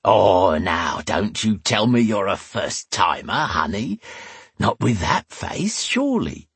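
A man's voice: fairly low pitch, sing-song, slow, fairly bright tone, slightly rough, no echo, exaggerated voice. Man putting on a drawling, lecherous tavern woman. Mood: flirtatious, lecherous. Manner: drawling, suggestive, folksy. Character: lecherous, homely heavy-set tavern woman in her forties, coarse.

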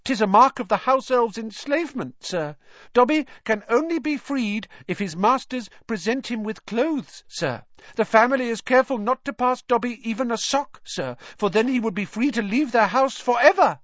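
A narrator voicing a small, servile male house elf from a storybook, squeaky, anxious and deferential, pleading in the third person. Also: lively intonation, moderate pace, a bit bright, no room sound, muffled recording, close to an everyday voice. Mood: meek, resigned.

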